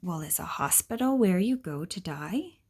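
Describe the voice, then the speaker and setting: Husky woman reading to little children, lively intonation. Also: fairly low pitch, moderate pace. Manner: gentle, explanatory, question-and-answer delivery. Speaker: reader of a children's picture book. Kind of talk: read-aloud storytelling to young children with questions and asides.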